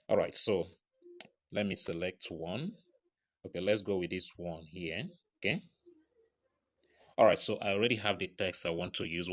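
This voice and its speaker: Male tutorial presenter, low register, informal step-by-step narration, frequent little confirmation tags. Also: a bit bright. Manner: frequent check-in tags like right and okay.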